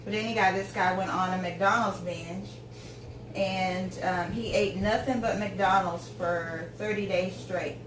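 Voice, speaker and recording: A woman's voice: medium pitch, steady tone, measured pace, roomy sound, distant mic, background noise. A woman in her forties chatting casually while she cooks at home, rambling through tangents with lots of ums. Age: 40s. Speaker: home cook vlogger. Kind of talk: casual chat while cooking. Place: home kitchen.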